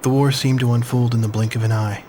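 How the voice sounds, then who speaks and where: An actor playing a reflective man who recalls his wartime childhood in measured, somber game-story narration. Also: medium pitch, flat delivery, mellow, slight background noise, close to an everyday voice. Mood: wistful, somber. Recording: music behind the voice. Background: prominent music.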